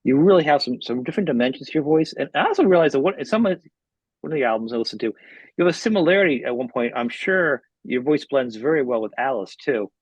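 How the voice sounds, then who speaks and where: A man's voice: mid-range voice, expressive, quick pace, breathy edge, no room sound, highs cut off. A man hosting a podcast, asking chatty, eager questions. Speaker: podcast host. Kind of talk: casual interview questions.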